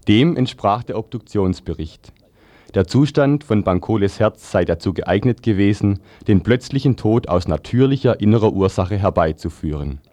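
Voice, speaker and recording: A man's voice: on the low side, darkish tone, no echo. A man reading scripted news on the radio as a newsreader. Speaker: news reader.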